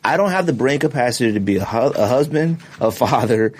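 A man co-hosting a podcast in casual chat, arguing in an animated, slangy way. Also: medium pitch, brisk, slightly rough. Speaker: podcast co-host.

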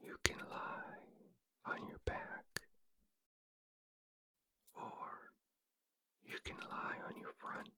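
A man hosting a sleep relaxation podcast in a breathy whisper, speaking in a gentle, soothing ASMR style. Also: extremely slow, pauses often. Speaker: podcast host and relaxation guide.

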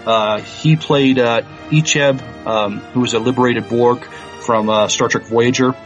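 A man co-hosting a sci-fi podcast, talking earnestly with many pauses and fillers. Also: mid-range voice, steady tone, faint music behind, poor audio. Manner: hesitant, lots of ums, earnest. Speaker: podcast co-host. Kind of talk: casual podcast chat.

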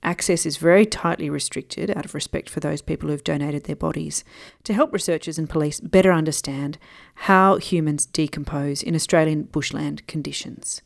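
Woman, podcast host, low-pitched, gravelly fry, conversational narration, explanatory, informal. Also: normal speed. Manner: explanatory, informal, direct address to listeners.

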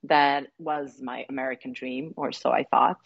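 A woman's voice: extremely low pitch, fairly even intonation, normal speed, gravelly, husky, no room sound, lo-fi sound. A woman in her forties from Serbia, a relationship coach speaking reflectively in an interview, with many fillers and restarts. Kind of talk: conversational interview.

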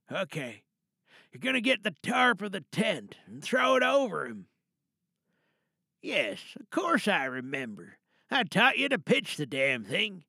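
Guy acting out a protective older dad in an audio play, grim and halting, creaky voice, really dry sound. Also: high-pitched, dry recording, exaggerated voice. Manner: halting, hushed reassurance turning cold and deliberate. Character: protective grim older father, calm, coldly menacing. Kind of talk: dramatic audio monologue, one side of a phone call.

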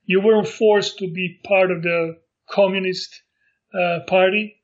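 Man, middle-aged, high voice, halting with fillers, dull lo-fi sound. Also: steady tone. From Montenegro. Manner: halting, many fillers and restarts. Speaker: interview guest. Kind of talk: casual remote interview.

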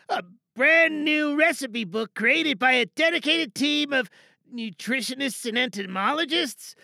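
A woman's voice: sits high, creaky, pushed and bright, no room sound, full character voice. A woman playing a small, cheerful, meek helper in an audio drama monologue, hesitant and apologetic, eager to please as they read out an advert. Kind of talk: monologue acting with stilted advert reading.

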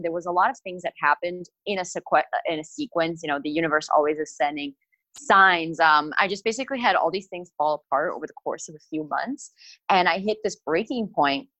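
Lower-voiced American-accented woman guest. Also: a bit bright, dry recording, highs cut off. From Italy. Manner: reflective, many fillers. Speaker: guest, writer and coach. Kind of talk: interview storytelling.

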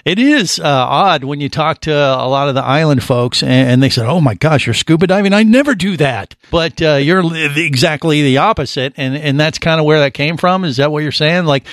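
A man's voice: on the high side, fairly quick, few pauses, a bit bright, no room sound. Male radio host, chatty and rambling, slightly raised voice, dry studio mic. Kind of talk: casual radio interview.

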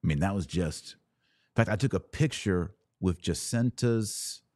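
Podcast host, male, American, low register, dry sound, conversational and wry, talking solo in an informal commentary style. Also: measured pace, a little mellow, dry recording. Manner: conversational, informal, wryly speculative asides. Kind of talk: casual solo commentary on livestream.

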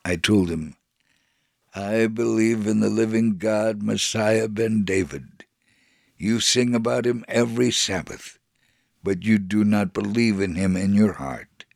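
A man reading a scripted first-person religious narrative in a measured, solemn, dramatic way. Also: fairly low pitch, vocal fry, a little mellow, no echo, clean studio sound. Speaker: storyteller on a religious radio program.

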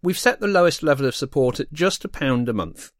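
A man's voice: a little high, expressive, a little fast, dry recording, clean studio sound. A man presenting a scripted podcast outro as host.

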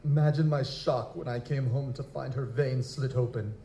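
A man's voice: mid-range voice, fairly even intonation, normal speed, mellow, close to an everyday voice. A man playing a formal, touchy young nobleman, a bereaved fiancé, in an audio drama, with stiff aristocratic diction. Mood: wistful, troubled. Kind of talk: live audio drama acting. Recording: roomy, echoing, noisy live recording.

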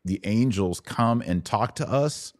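A man's voice: fairly low pitch, a little slow, darkish tone, dry recording, clean studio sound. A man hosting an informal Bible question-and-answer podcast, explaining things in colloquial language with emphatic repetition. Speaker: podcast host.

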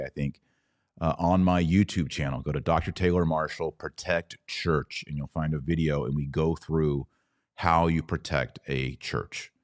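A man's voice: a bass voice, a little mellow, no echo, studio quality. A man speaking as a podcast host in an informal, emphatic, slangy way, chatting casually and answering live comments. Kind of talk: casual livestream chat answering viewer comments.

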